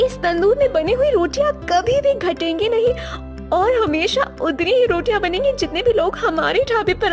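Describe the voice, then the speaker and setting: Woman acting a high-voiced, kind young daughter. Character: kind, earnest young daughter running a poor roadside eatery. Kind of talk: Hindi children's moral story dubbing.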